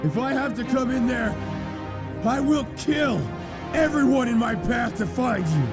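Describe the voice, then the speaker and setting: A gravelly, menacing villain warrior. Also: male voice, very high voice, expressive, measured pace, over music, full character voice. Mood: cold threat. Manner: theatrical, commanding, taunting. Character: menacing armored evil warrior, young male villain, shouting threats. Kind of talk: audio drama villain acting.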